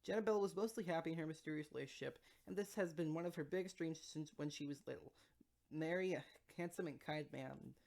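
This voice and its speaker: A woman with a low-pitched voice reads fiction aloud as a podcast host. Her delivery is that of an amateur storyteller, with the occasional stumble over a word. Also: fast talker, gravelly, darkish tone. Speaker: podcast co-host reading short stories aloud.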